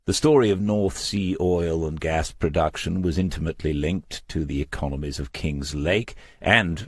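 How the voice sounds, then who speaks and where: A man with an extremely low, husky voice reads crime fiction aloud. His storytelling is measured and reflective. The sound is dry and close, with no sense of a room around him. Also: no echo. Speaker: audiobook narrator. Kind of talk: scripted crime novel narration.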